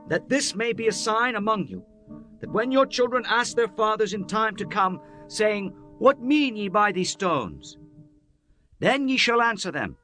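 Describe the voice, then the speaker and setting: Man acting commanding Israelite leader, very high-pitched, forceful, solemn, declamatory, dramatized Bible audiobook, light plucked-string music. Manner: solemn, declamatory scripture delivery. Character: Joshua, commanding Israelite leader, solemnly instructing his people.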